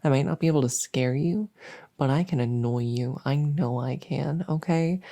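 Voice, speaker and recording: A woman playing a needy, exasperated but harmless female monster under the bed, rambling and stammering softly, often in whispers, in an ASMR audio roleplay. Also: a bass voice, normal speed. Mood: insistent. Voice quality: soft, often breaking into whispers. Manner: rambling, stammering, pleading, silly.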